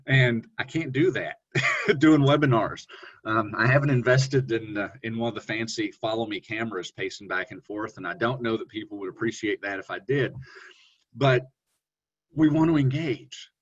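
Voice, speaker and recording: A man presenting a webinar on online teaching, talking in a relaxed, conversational way with fillers and self-corrections. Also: normal speed, breathy edge. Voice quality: deep voice. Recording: dull lo-fi webinar audio.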